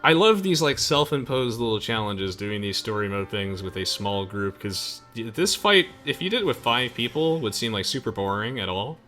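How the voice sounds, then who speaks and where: A lower-pitched man with a bright voice and very lively intonation chats through casual gameplay commentary. He comes across as excitable, with music playing behind him. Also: moderate pace, hardly any pauses. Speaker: gamer, let's play host. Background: game music.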